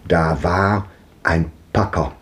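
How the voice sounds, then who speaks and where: A deep-voiced nostalgic old storyteller. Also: male voice, steady tone, takes it slowly, fairly bright tone. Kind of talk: 1950s radio drama acting.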